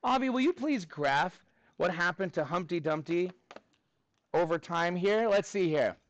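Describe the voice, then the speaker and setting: A man teaching a middle school class, talking to students in an informal, animated and encouraging way. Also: high voice, a little slow, no room sound.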